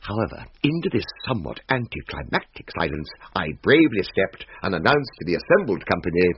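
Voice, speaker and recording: An actor playing a pompous, wordy young gentleman in a comic old-time radio drama. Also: deep voice, very animated, fairly quick, slightly rough, dry recording, lo-fi sound, full character voice. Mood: self-important. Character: pompous, bravado-filled young gentleman nephew telling ghost tale.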